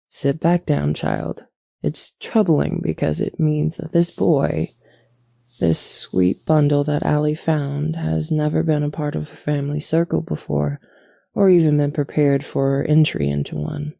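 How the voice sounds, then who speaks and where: Slow, mellow actress as motherly alien matriarch. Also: deep voice, flat delivery, vocal fry, no echo, heavily acted. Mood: gently stern. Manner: measured, soothing, formal. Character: calm, motherly alien matriarch, gently stern and tender. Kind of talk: soft audio roleplay acting.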